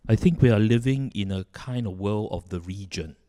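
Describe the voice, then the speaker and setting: A man's voice: fairly low pitch, normal speed, warm tone. A man from Singapore speaking thoughtfully as a panelist, often saying you know. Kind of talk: panel discussion. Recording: echoey hall.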